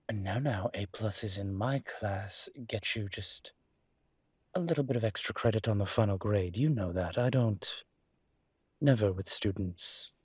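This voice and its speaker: A man playing a suave, flirtatious demon professor in an intimate audio roleplay, speaking in a courtly, teasing way with formal wording and hesitant pauses. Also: on the low side, husky, dry recording, studio quality, exaggerated voice. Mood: playful, flirty.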